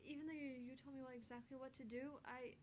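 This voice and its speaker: A shy, soft-natured teenage boy from a visual novel, played by a woman. He sounds high-pitched and hesitant, stumbling politely through apologies. There is slight background noise. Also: steady tone, fast, natural voice. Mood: timid, apologetic.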